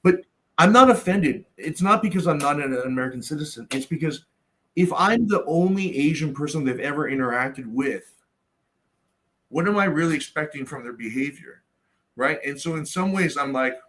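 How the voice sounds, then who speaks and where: A man's voice: on the high side, expressive, moderate pace, fairly bright tone, low-quality recording. A middle-aged man from Canada in a casual roundtable discussion, talking bluntly with plenty of swearing and fillers. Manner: blunt, profane, storytelling with many fillers.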